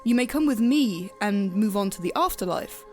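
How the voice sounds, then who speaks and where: A woman playing a composed, detached grim reaper in an audio drama, speaking with stiff, measured formality. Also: medium pitch, lively intonation, darkish tone, soft background music, lightly acted.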